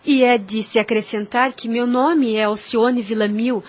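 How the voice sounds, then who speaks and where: Woman acting a fairly high-voiced, gentle young nurse. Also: fairly even intonation, a little slow. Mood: composed, humble. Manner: formal, composed. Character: devoted, patient, gentle young nurse and servant. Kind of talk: religious audio drama acting.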